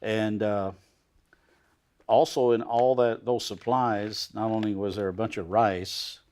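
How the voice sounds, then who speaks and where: A man in his sixties hosting a TV studio interview, asking leading questions in a halting way with many pauses. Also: on the low side, steady tone, a little mellow. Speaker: host.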